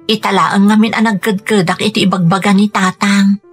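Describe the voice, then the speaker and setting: An actress playing a dutiful, weary young Filipina daughter with an Ilocano accent, earnest and plaintive in a radio drama. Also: female voice, medium pitch, little pitch movement, moderate pace, husky, soft background music, lightly acted. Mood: troubled, weary. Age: young adult.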